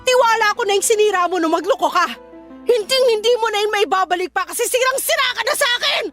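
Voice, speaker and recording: A woman's voice: extremely high pitch, lively intonation, fast talker, a bit bright, soft background music. An actress with a Filipino accent playing a betrayed, furious young woman in a radio drama, scolding with intense anguish. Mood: indignant, scornful. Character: betrayed, furious, heartbroken young woman leaving her cheating boyfriend.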